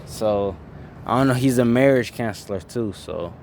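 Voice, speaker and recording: A man's voice: medium pitch, normal speed, slight background noise. Laid-back young man, interview guest. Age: 20s. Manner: laid-back, drops "bro" and "man", trails off softly. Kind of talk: casual interview chat.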